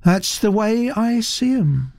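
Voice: medium pitch, lively intonation, a little slow, darkish tone, no echo, pitched above the performer's own voice, natural voice. A narrator playing an indifferent, sardonic young woman artist who speaks in a lazy, placid drawl. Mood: placid amusement.

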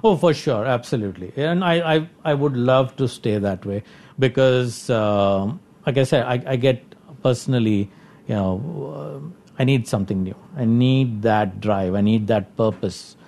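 A man from India with an Indian accent, an executive giving informal interview answers, halting and repeating himself as he thinks. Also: medium pitch, creaky, darkish tone. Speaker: company executive being interviewed.

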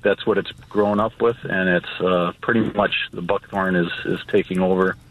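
A man calling in to a radio show, asking his question hesitantly with many pauses and restarts. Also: sits low, fairly even intonation. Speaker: caller. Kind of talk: call-in question. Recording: dull phone line.

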